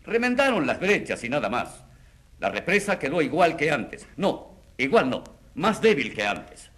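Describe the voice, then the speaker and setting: An actor playing an aggrieved village schoolteacher on the witness stand in a radio drama, speaking plainly and bitterly. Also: male voice, on the high side, sing-song, measured pace, a bit bright. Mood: bitter, worried. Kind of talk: dramatic radio play acting.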